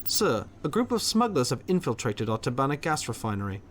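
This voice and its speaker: An actor playing a nervous, deferential Imperial commander in a video game. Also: male voice, mid-range voice, sing-song, fairly quick. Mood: anxious. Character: anxious, deferential male Imperial field commander.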